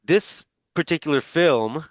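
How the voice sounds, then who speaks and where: A man's voice: fairly high pitch, measured pace, dry recording. A middle-aged man teaching a class over a video call, talking casually with lots of fillers and restarts. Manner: rambling, many fillers and restarts. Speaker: instructor.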